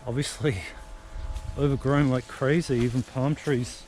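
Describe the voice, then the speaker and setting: A man's voice: medium pitch, steady tone, a little slow, darkish tone. A man giving casual, musing commentary as he walks outdoors through rural countryside, filming on a handheld camera. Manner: chatty, muses aloud while exploring. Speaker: travel vlogger. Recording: outdoor camera audio with noise. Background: wind and outdoor ambience.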